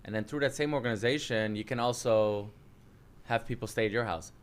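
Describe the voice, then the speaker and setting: A Dutch man in his thirties, his English carrying a Dutch accent and his voice low and smooth. He tells his story in a relaxed, chatty way, full of fillers and restarts, like a coach at ease in an interview. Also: fairly quick. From Netherlands. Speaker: jiu-jitsu coach and interview guest.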